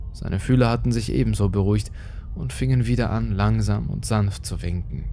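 A man narrates a horror story in a fairly low voice. His delivery is scripted, measured and full of suspense. Dark music plays clearly behind him.

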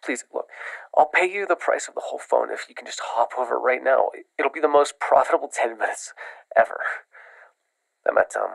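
A young man acting a desperate, evasive caller, speaking haltingly with a gravelly voice in an immersive audio roleplay. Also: medium pitch, lively intonation, dry recording, poor audio. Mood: pleading, strained. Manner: halting, pleading. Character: desperate, evasive man pleading over the phone.

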